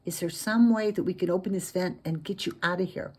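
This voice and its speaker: A woman voicing an anxious male hero hiding in a story, hushed and urgent, repeating words. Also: lowish voice, brisk, slightly rough.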